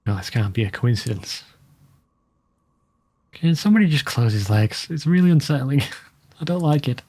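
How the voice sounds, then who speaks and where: A man's voice: mid-range voice, very animated, moderate pace, darkish tone, husky. A man giving casual commentary as he plays a video game. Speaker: let's play host. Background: game music, keyboard typing.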